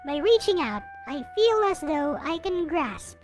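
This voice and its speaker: Woman reading as a high-voiced, despairing young narrator. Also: big pitch swings, a little slow, darkish tone. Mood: wistful. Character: despairing young narrator losing eyesight, wistful and bitter. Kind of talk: reading visual novel text aloud. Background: game music.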